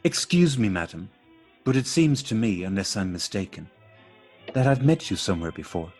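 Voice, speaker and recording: A man playing a courteous, puzzled gentleman with formal, mock-astonished delivery in an absurdist radio play. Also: lowish voice, expressive, normal speed, soft background music, natural voice. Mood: polite puzzlement. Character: courteous, puzzled English gentleman meeting a lady. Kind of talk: absurdist stage dialogue read as radio play.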